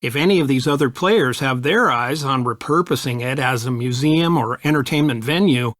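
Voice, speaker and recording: Man playing a measured, middle-aged American lawyer dad. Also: medium pitch, a bit bright, dry recording, studio quality, natural voice. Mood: analytical. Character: middle-aged lawyer father, analytical, supportive mentor. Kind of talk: video game dialogue acting.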